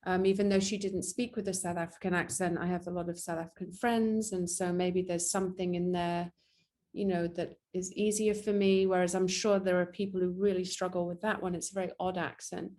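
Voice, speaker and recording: A woman's voice: on the low side, level tone, brisk, darkish tone. An English actress in a casual interview, speaking candidly and a little self-deprecatingly about her work. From England. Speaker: actress and guest.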